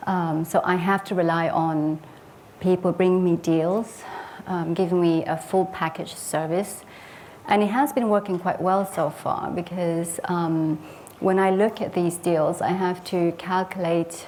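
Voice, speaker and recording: A woman from Sweden speaks in a fairly low, steady voice, sharing her investing journey with an audience. She is earnest and reflective and often pauses on small hesitant fillers. A faint hum of noise sits underneath her. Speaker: property investor giving a case study talk. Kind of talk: personal case study presentation.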